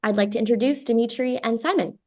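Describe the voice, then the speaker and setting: A woman's voice: a little high, fast, darkish tone. A woman hosting a business webinar, upbeat and chatty with frequent ums. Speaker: webinar host. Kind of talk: webinar introduction.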